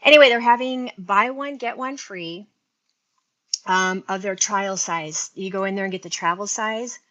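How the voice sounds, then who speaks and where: A woman's voice: mid-range voice, normal speed, pushed and bright, low-quality recording. A sixty-year-old woman hosting a casual beauty live stream, chatting and rambling to her viewers, talking into an earphone mic linked to her phone. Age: 60s. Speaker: beauty livestream host.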